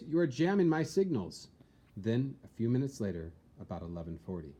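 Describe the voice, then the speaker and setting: A man reading a historical account as dramatic scripted narration, in a measured, theatrical storytelling manner. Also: low-pitched, mellow, slightly rough. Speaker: reader, narrator.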